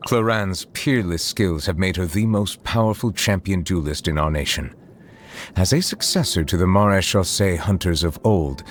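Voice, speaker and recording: A man playing a reserved, eloquent chief judge in a video game, speaking in a formal and measured way. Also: sits low, lightly acted. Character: formal, reserved male chief judge, secretly a water dragon. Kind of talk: scripted game character voice lines. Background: music.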